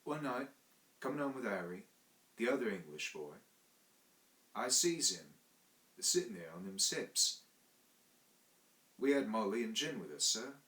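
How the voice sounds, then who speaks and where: A man putting on a rough young Cockney manservant, his voice raised higher and louder than the reader's own. He talks blunt and plain, forceful and bright, with a roomy echo behind him in this storybook reading. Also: very animated, a little slow, pauses often, full character voice. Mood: excited. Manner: rough working-class grammar, frequent "sir".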